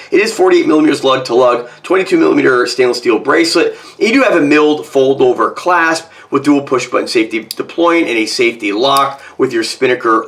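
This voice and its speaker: Slightly hoarse North American man reviewing casually. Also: medium pitch, a bit bright. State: getting over a cold. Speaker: watch reviewer. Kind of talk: casual product review to camera. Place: small home office, indoors.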